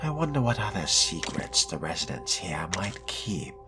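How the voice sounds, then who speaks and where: A man playing a suave, wry vampire fledgling in a video game roleplay, speaking in a measured, genteel way with dry amusement. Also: sits low, big pitch swings. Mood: smooth, wry. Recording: music behind the voice.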